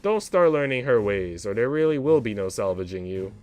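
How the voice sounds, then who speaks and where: A man's voice: fairly low pitch, big pitch swings, a little fast, a little mellow, soft background music. A man voicing a haughty, condescending English maid, a prim woman with a cruel streak, in a visual novel.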